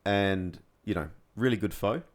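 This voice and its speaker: A man's voice: lowish voice, moderate pace. A man hosting a casual podcast, easygoing and jokey with his guest. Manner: says he has a monotone voice, jokey and easygoing. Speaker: podcast host.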